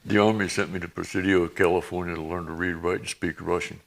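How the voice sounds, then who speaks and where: A man in his eighties, a veteran guest reminiscing in plain-spoken, halting, folksy talk. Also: low-pitched, steady tone, vocal fry, fairly bright tone, slight background noise. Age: 80s. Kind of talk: reminiscing in a conversation.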